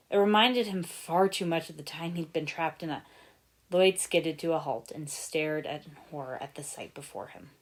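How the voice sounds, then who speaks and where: A woman narrates a story from a script with expression, as an amateur fiction reader would. Her voice sits a little low for a woman, and she tells the tale in a storybook style.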